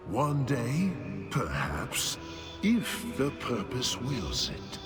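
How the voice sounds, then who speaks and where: Casting: sceptical male keeper of knowledge, game cutscene, hugely varied intonation, unhurried, wary, loud score. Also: medium pitch, wildly swooping pitch, exaggerated voice. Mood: guarded. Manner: dry, guarded, formal. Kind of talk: fantasy game cutscene acting. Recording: loud music behind voice. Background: game score music.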